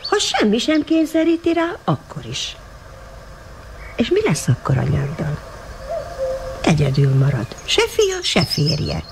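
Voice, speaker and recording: A woman's voice: medium pitch, vocal fry. An older woman playing a shrewd, loving, plain-spoken grandmother in a radio drama, asking probing questions. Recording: noisy 1980 radio drama recording.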